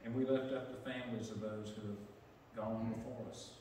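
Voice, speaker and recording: A middle-aged man leading prayer as pastor, plain-spoken with some halting fillers, heard from a pulpit mic in a large church sanctuary. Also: on the low side, steady tone, noisy background. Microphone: pulpit mic, camera far back. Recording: echoey sanctuary from far off.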